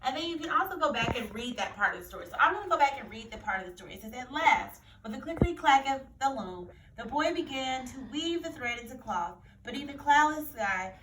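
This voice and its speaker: A woman's voice: high voice, lively intonation, quick pace, few pauses, a bit bright. A woman teacher explaining a story to children in a read-aloud lesson, repeating key phrases as she goes. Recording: roomy echo, distant mic, faint noise.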